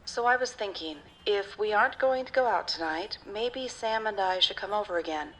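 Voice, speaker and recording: Actress as supportive young female friend, gently insistent, bright crisp tone, natural audio drama dialogue. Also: medium pitch, moderate pace, natural voice, poor audio. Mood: concerned, teasing.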